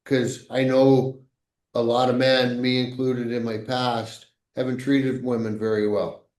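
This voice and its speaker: A man of middle pitch co-hosts the podcast, talking slowly and haltingly while he looks for the right words. His voice carries a noticeable roomy echo. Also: flat delivery, a little mellow. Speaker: podcast co-host. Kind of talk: casual conversation.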